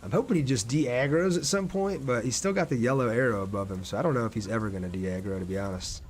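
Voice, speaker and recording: A man's voice: mid-range voice, quick pace. Male game streamer, casual chatty commentary, some background noise and ambience. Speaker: game livestreamer.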